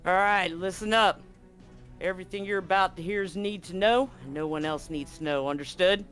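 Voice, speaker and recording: A man playing a gruff, profane Marine commander briefing a squad in a tabletop game, blunt and commanding. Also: high voice, moderate pace, a bit bright, faint music behind. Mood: stern briefing.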